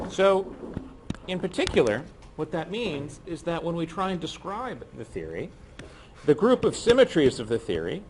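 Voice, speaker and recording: A man with a cold gives a university lecture in a lecture room, his hoarse voice kept low to save it. His pitch rises and falls in a lively way as he explains, with slight background noise. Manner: speaks softly to save his voice, with frequent ums. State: has a cold, losing his voice. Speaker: physics lecturer.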